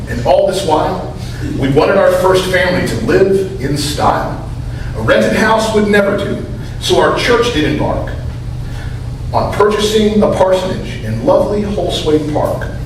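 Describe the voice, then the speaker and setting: A man with a high-pitched voice reads rhyming verse in a church readers theater. The hall echoes heavily and there is faint noise. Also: expressive, a little slow, far from the mic. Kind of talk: reading rhyming poem aloud.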